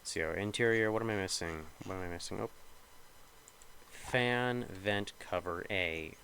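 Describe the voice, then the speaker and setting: A young man talks over his gameplay, rambling and thinking aloud with plenty of hesitation. His voice sits a little low and sounds slightly nasal. A faint background hum runs under the recording. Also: moderate pace, creaky, pushed and bright. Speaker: gaming video creator. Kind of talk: casual gameplay commentary.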